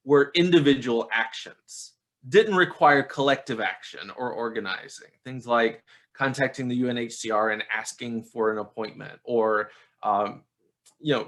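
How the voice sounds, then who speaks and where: Author, male, mid-pitched; thoughtful, explanatory interview answers with frequent fillers. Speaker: author interviewed about his book.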